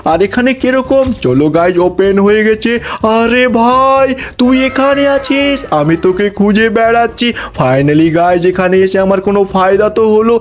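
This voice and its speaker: A man's voice: sits high, normal speed, few pauses, lightly acted. A man voicing an eager, puzzled creature in a cartoon game skit, exclaiming and asking questions.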